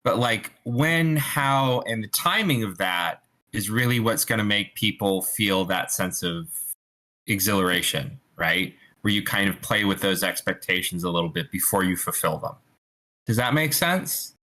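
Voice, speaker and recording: A man's voice: lowish voice, expressive, moderate pace, pushed and bright. A man giving rambling, explanatory feedback in a casual group discussion of screenplays, often checking for agreement. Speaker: participant giving script feedback. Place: online meeting.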